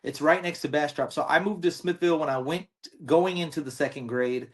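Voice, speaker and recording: Man in his 30s, podcast host, slightly high voice, relaxed and slangy, a bit boastful. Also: brisk. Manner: laid-back, boastful, lots of slang. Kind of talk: casual podcast chat.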